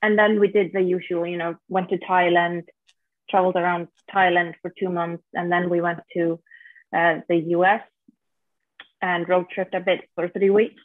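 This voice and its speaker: A woman's voice: on the low side, moderate pace, dry recording. A woman in her thirties from Iceland with an Icelandic accent, chatting casually as a podcast guest about her life. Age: 30s. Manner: relaxed, plainspoken storytelling. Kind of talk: casual interview chat. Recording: dry, tinny phone-like line.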